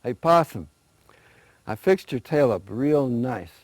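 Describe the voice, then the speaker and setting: A man's voice: medium pitch, expressive, slow pace, no echo. A man voicing a sly, friendly cricket in a folktale, coaxing and persuading in a casual way. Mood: helpful, persuasive. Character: sly, friendly cricket posing as a helpful barber. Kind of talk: animated oral folktale telling.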